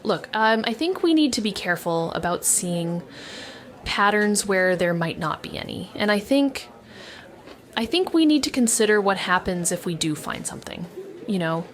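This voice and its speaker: A woman's voice: medium pitch, lively intonation, moderate pace, close to an everyday voice. A young woman playing a cautious, anxious former child detective who narrates a noir audio drama. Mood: anxious, careful. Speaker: narrator and lead actor.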